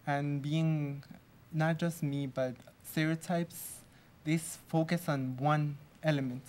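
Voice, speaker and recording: A young man from Mexico, whose first language is Spanish, speaks as a student in a discussion. He gives a personal account, picking his words in a deliberate, halting manner. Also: on the high side, steady tone, darkish tone. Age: young adult.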